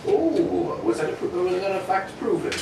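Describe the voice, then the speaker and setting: A man playing a genial, polite gentleman companion with a hesitant, bumbling manner in a radio play. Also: sits high, very animated, moderate pace. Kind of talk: amateur radio-play acting. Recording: roomy, distant, noisy live recording.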